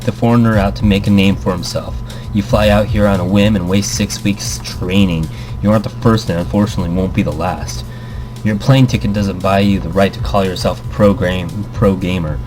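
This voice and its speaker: A man's voice: on the low side, natural voice. A man voicing a smug, scornful male pro gamer in a visual novel, sneering and condescending, over music.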